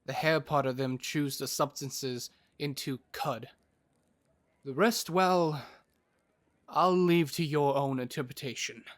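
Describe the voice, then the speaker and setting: Man playing a slow-spoken, knowing fantasy man. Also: mid-range voice, steady tone, no echo, close to an everyday voice. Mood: explanatory. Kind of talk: audio drama acting.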